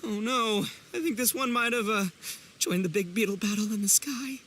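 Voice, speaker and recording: A man's voice: sits high, normal speed, fairly bright tone, slight background noise. An actor playing a brash, gullible, overconfident young oni man in a video game, with boastful, theatrical, slangy delivery. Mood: delighted, then puzzled. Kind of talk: animated video game voice acting.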